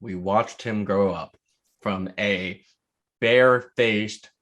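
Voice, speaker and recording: An American man co-hosting a podcast, chatting about sports with deliberate, slightly grand phrasing. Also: fairly low pitch, fairly bright tone. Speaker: podcast co-host. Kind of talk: casual sports podcast chat.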